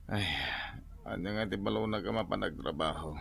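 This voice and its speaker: A man's voice: lowish voice, normal speed, creaky, a bit bright, husky, full character voice. A man playing a lazy, grumbling, hard-drinking husband with an Ilocano accent in a radio comedy drama. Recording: music behind the voice.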